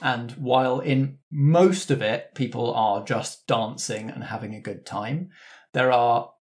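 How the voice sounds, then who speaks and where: A man's voice: mid-range voice, fairly even intonation, a little slow. A man acting as game master, narrating scenes and rules in a conversational, descriptive way. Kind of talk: narrating a tabletop roleplaying game.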